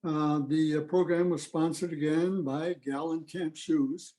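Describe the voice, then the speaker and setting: Guy giving a casual club talk on a video call, highish voice, soft dark tone. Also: steady tone, measured pace. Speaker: presenter at a radio history club meeting. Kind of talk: informal talk with audio clips.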